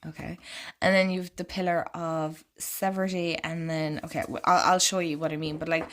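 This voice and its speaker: Woman vlogger, lowish voice, congested and croaky, loose rambling delivery. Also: little pitch movement, normal speed. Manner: chatty and rambling, lots of "like". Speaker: oracle card reader and vlogger. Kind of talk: casual deck walkthrough.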